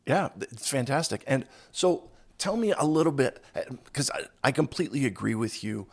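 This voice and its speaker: A man hosting a podcast while hoarse from a cold, talking in an upbeat, self-deprecating, joking way. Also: medium pitch, expressive, normal speed. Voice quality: raspy, gravelly. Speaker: podcast host. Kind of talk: casual interview chat.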